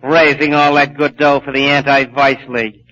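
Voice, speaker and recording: A man's voice: medium pitch, little pitch movement, moderate pace, bright, forceful tone, close to an everyday voice. An actor playing a cynical, wisecracking sidekick to a con man in an old radio comedy, blunt and slangy. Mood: wry. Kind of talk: 1940s radio comedy acting. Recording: muffled 1940s radio broadcast.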